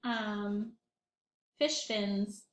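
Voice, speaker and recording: A woman's voice: medium pitch, very slow, echoey room. A woman reading a picture book aloud to young children as a teacher would, expressively, with questions and asides to her listeners.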